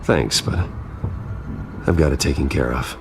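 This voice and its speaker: A man's voice: rumbling low, unhurried, creaky, exaggerated voice. An actor playing a composed, principled and stern male hero in a video game drama. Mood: concerned. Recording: music behind the voice.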